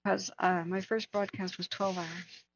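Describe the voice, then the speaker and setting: A woman hosting a wildfire tracking livestream, hoarse from hours of talking, speaking earnestly and answering viewers by name. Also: lowish voice, flat delivery, a little slow, highs cut off, slight background noise. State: hoarse, losing her voice. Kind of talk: casual livestream chat.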